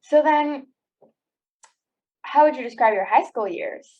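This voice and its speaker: A young woman hosts a casual podcast interview and asks her guest questions with easygoing hesitations. Her voice sits a little high. The sound is dull and lo-fi. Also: a little slow. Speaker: podcast host.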